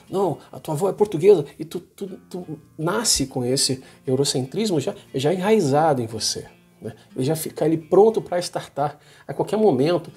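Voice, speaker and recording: Husky Brazilian man talking informally. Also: a little high, a little mellow. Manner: colloquial, slangy, emphatic. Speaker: vlogger. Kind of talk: informal opinion monologue to camera. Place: small home office, indoors.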